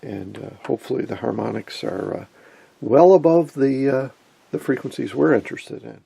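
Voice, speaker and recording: A man narrates an informal hands-on demonstration at an unhurried pace of thought. His voice is heavily creaky and husky, with a relaxed, dark tone. He comes across as a folksy hobbyist explaining his work step by step. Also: medium pitch, dry recording. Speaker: electronics hobbyist presenter. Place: indoor electronics workbench.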